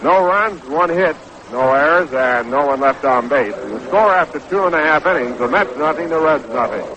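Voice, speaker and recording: A man doing measured, unhurried live baseball play-by-play commentary on a 1960s radio broadcast. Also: on the high side, lively intonation, muffled recording, noisy background. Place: stadium broadcast booth.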